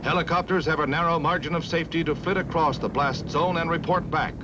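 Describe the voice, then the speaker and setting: A man's voice: on the high side, fairly even intonation, fast talker, highs cut off, noisy background. A man with an American accent reading scripted newsreel narration in a dramatic announcer style, on a vintage 1950s soundtrack.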